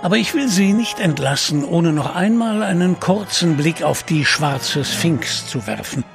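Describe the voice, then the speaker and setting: A man narrating a scripted adventure drama as a solemn, measured storyteller. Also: a little high, lively intonation, vocal fry, soft background music.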